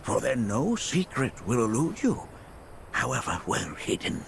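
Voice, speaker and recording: A man playing an eccentric, kindly old sage in a video game, creaky and theatrical, with rambling, old-fashioned phrasing. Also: medium pitch, wildly swooping pitch, a little slow, slight background noise, full character voice. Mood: grave counsel. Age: older. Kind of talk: video game cutscene acting.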